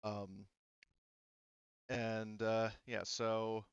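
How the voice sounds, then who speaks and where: A man's voice: on the low side, little pitch movement, very slow, clean tone, no room sound, lo-fi sound. A man casually narrating a tabletop roleplaying game as game master, rambling and often rephrasing himself mid-sentence.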